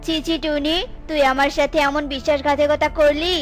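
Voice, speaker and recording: A woman's voice: high voice, little pitch movement, moderate pace, close to an everyday voice. A woman voicing a stern, bossy eagle chief. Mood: scolding. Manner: commanding, scolding.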